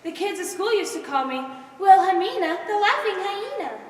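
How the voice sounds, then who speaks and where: High, timid young woman acted by a girl, echoey. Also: animated, moderate pace. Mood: nervous, earnest. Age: teenager. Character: timid young woman who laughs at everything. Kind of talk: high school stage play acting. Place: stage in a large hall, recorded from afar. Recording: echoey hall, distant microphone, background noise.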